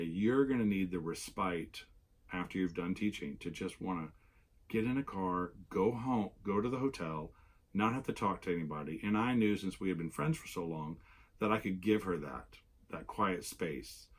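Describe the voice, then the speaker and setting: Hoarse, low-voiced man rambling casually. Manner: rambling, many fillers and self-corrections. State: slightly hoarse after losing his voice. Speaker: knitting channel host. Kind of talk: casual solo vlog.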